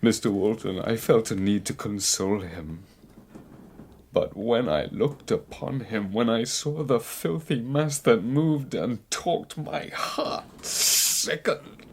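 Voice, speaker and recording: A man's voice: mid-range voice, animated, slow pace, creaky, slightly rough, heavily acted. An actor playing a weary, remorseful scientist confessing his tale in an audiobook drama, speaking formally and hesitantly, as if confiding. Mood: haunted.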